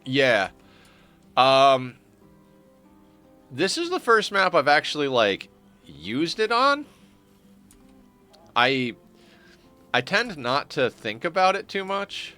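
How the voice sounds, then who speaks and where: A man's voice: medium pitch, big pitch swings, a little slow, long pauses, sharp tone, soft background music. Stuffed-up man musing over a game. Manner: rambling, self-correcting, wry. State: stuffed-up nose. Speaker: streamer. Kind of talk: casual gameplay commentary.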